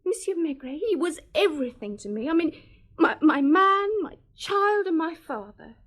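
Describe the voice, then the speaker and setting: A grieving young gangster's girlfriend from a radio play, with a high voice. She is prickly and defensive, and swears. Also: expressive, moderate pace, fairly bright tone. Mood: shaken. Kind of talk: radio drama acting.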